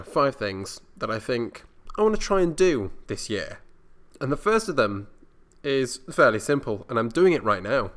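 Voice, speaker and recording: Rambling young man, casual audio diary. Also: medium pitch. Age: young adult. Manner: rambling, self-deprecating, mildly sweary. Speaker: vlogger. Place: indoor university communal area at night.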